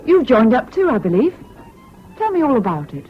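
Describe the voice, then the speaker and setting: A woman's voice: on the high side, big pitch swings, normal speed. An actress playing an emotional, proud young Englishwoman in wartime in a 1970s British radio drama. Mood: distraught, bitter. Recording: dull old radio recording, music behind.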